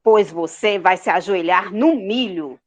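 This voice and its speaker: A melodramatic queen played by a woman in a comic audio drama, with a mid-pitched voice. She is haughty and imperious, a scolding mother who makes every line theatrical. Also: a little slow, natural voice. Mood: angry. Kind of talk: comedic audio drama acting.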